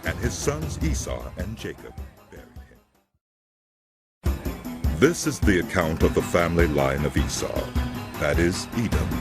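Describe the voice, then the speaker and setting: A man narrating a scripted dramatic Bible reading in a solemn, measured storytelling manner. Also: sits low. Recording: loud music under the voice. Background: music.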